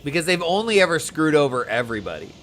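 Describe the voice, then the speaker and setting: A man's voice: on the high side, very animated, quick pace, a bit bright, slight background noise. A man giving casual, chatty live gameplay commentary as a streamer, reacting informally. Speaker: game streamer.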